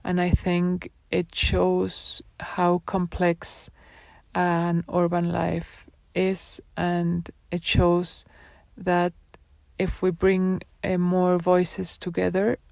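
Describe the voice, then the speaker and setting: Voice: mid-range voice, completely flat delivery, very slow, gravelly, darkish tone, no room sound. A person presenting their own design projects in a live talk, speaking hesitantly with many fillers and restarts.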